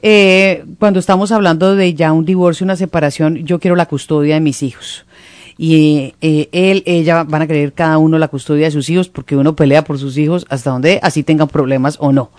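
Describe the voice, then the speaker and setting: A host interviewing a guest in a conversational way and slowly dictating phone numbers for listeners. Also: mid-range voice, fairly even intonation, darkish tone, dry recording.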